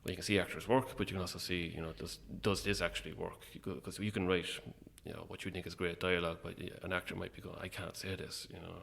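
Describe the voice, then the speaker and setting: Very deep, gravelly man. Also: flat delivery, fast talker, hardly any pauses, a bit bright. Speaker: playwright and actor, interview guest. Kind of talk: casual interview chat about own work.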